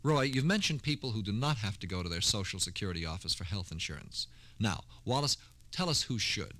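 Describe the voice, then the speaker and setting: A man's voice: lowish voice, expressive, brisk, sharp tone, no room sound. A man hosting a scripted 1960s radio program in a formal, courteous announcer manner. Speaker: radio host.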